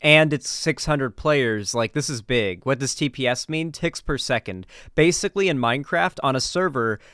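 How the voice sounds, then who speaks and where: A man's voice: mid-range voice, moderate pace, bright, forceful tone, no room sound. Casual male streamer, clean dry sound. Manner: informal, swearing, gamer slang. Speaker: streamer reacting to videos and chatting with viewers. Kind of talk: casual live stream commentary.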